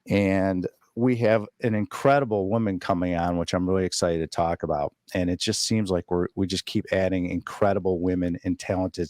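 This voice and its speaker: A man's voice: sits low, no echo, clean studio sound. A man hosting a podcast interview, effusive and complimentary toward the guest. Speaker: podcast host. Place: podcast studio.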